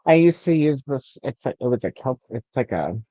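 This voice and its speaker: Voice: medium pitch, sing-song, moderate pace, dark tone, no echo, muffled recording. A host chatting casually and reading out chat on a livestream, with a joking, sweary way of talking.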